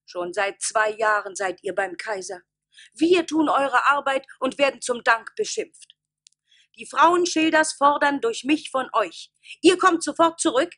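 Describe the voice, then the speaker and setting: High-voiced commanding village wife. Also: female voice, animated, measured pace, fairly bright tone. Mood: stern, demanding. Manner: declamatory, demanding. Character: assertive village wife, mayoress demanding the men return. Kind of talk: German stage-style radio play acting.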